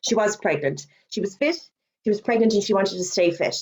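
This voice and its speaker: A middle-aged woman with an Irish accent, a fitness instructor giving a webinar presentation, chatty, with quick asides and self-corrections, her voice going hoarse as she talks. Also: mid-range voice, expressive, darkish tone, low-quality recording. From Ireland. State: losing her voice.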